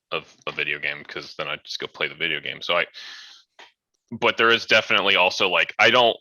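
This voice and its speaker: A man's voice: low-pitched, bright, forceful tone, no echo, low-quality recording. A man chatting casually and informally as a co-host on a podcast. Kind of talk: casual chat.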